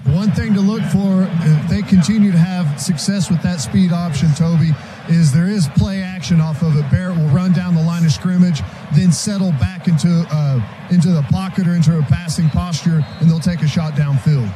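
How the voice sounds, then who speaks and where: A man's voice: sits high, fairly even intonation, moderate pace, rough recording. An American man giving live football analysis on the radio, explaining plays in a conversational way. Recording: very loud stadium noise behind voice. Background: very loud stadium ambience.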